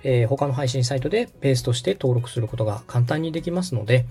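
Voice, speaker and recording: Lively, mellow-voiced man giving instructions. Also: mid-range voice, fast talker, husky, faint music behind. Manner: polite, methodical explainer. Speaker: podcast host and radio director giving step-by-step guidance. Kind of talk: instructional walkthrough in dialogue.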